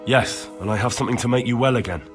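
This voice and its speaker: A man's voice: medium pitch, quick pace, over music, natural voice. An actor playing a plain-spoken, helpful young man of the common folk in a medieval video game, talking earnestly. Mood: reassuring. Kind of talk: video game cutscene acting.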